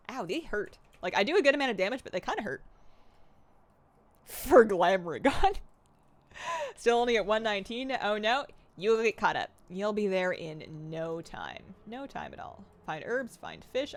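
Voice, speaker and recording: A young woman streaming a game live, chatting excitedly and reading her chat aloud. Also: medium pitch.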